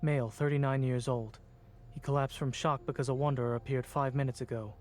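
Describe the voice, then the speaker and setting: An actor playing a composed, modest young doctor in a game, measured and formal in speech. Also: male voice, medium pitch, steady tone, a little mellow, natural voice. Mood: clinical.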